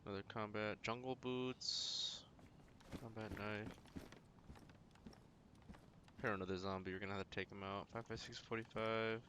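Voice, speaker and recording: A man's voice: on the low side, fairly even intonation, moderate pace, long pauses, noisy background. A man streaming a video game, giving casual running commentary and often muttering to himself as he plays. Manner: muttering to himself while playing.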